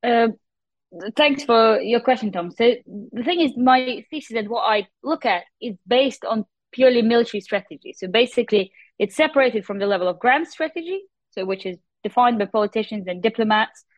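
A woman's voice: a little high, lively intonation, brisk, no room sound, highs cut off. A young adult woman from Russia with a Russian accent, explaining her research as an interview guest. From Moscow, Russia.

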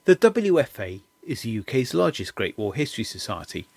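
A man presents a history podcast with formal, measured delivery and a very animated pitch. His voice is recorded close and dry, with no room sound at all. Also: no room sound. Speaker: podcast host. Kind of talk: scripted intro and interview questions.

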